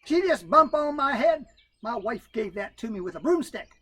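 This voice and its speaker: A man's voice: extremely high pitch, very animated, moderate pace, fairly bright tone, husky, pitched above the performer's own voice, heavily acted. A man voicing a down-on-his-luck male raccoon in a folk tale, with a drawling, complaining manner. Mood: glum.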